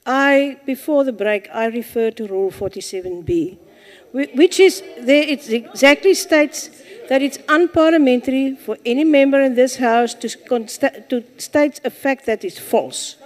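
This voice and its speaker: Middle aged woman mp, firm rules talk, high voice, echoey chamber. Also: animated, darkish tone. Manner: firm, procedural, measured. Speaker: member of parliament. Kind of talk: parliamentary point of order. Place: large parliament chamber, indoors. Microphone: desk microphone, seated. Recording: reverberant parliament chamber.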